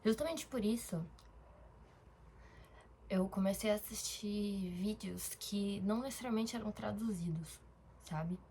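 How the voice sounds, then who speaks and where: A woman's voice: mid-range voice, a little slow, long pauses, warm tone, slight background noise. A young woman from Brazil talking casually in a diary-style vlog, rambling, with fillers and self-corrections. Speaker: vlogger.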